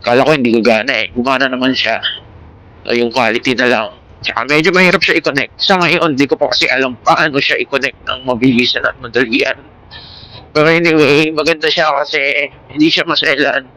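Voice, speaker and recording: Bright-voiced Filipino man vlogging casually. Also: mid-range voice, fairly even intonation, a little fast, rough recording. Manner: rambling, informal, mixes in English words. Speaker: motovlogger. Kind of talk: casual vlog chat. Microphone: Bluetooth intercom headset. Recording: Bluetooth intercom mic with vehicle noise.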